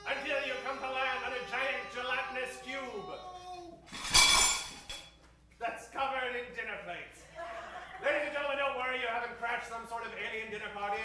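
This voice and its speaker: A man with a high voice works a live audience as an old-time radio show host. He speaks with theatrical, mock-grand showmanship. The recording is very echoey and distant, as if caught from out in the venue. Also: steady tone, moderate pace. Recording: noisy, echoey live stage recording from far off.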